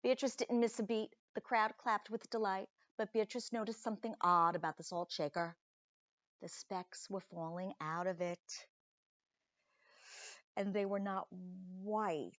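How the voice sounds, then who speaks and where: A woman reading a children's story aloud expressively, with deliberate dramatic pauses and chatty asides to young listeners, like a teacher. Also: medium pitch, a bit bright, no room sound, dull audio.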